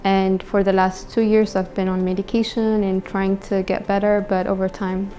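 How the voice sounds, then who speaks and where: A young woman speaks in an Ethiopian accent, telling her own story as a patient. Her voice is mellow and relaxed, with very even pitch. Soft music plays behind her. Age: young adult. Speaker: patient giving testimonial. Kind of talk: personal testimonial to camera.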